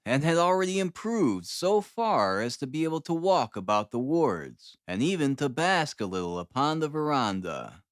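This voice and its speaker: A man reads a classic first-person novel as an audiobook narrator. His pitch moves in a lively, varied way across phrases. The sound is dry, with very little room on it. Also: no echo.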